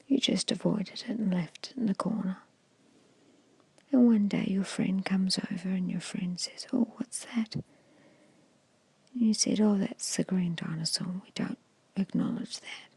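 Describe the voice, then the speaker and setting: Breathy whispering woman, close and dry. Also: mid-range voice, dry recording. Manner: slow, soothing, hushed storytelling. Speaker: hypnosis guide. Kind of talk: whispered ASMR guided meditation.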